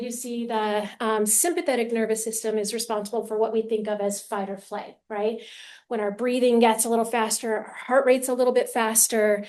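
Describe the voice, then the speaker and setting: Woman speaker, fairly high soft voice, friendly lecture to a room, handheld mic. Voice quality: soft quiet voice. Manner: apologetic and friendly, laughs often. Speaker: educational speaker. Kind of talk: live lecture to a seated audience. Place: meeting room with audience. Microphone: handheld mic after a lapel clip would not stay.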